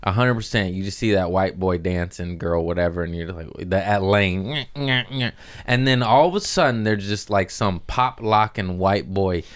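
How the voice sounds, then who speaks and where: A man's voice: sits low, moderate pace, no room sound, clean studio sound. An American man in his thirties chatting casually on a comedy podcast in a loose, slangy, rambling way, joking as he goes.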